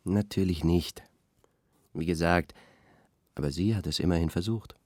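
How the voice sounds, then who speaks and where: An actor playing a bemused, understated arts expert talking deliberately as a studio guest in a radio play. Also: male voice, low voice, mellow, dry recording, lightly acted. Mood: bemused, hesitant.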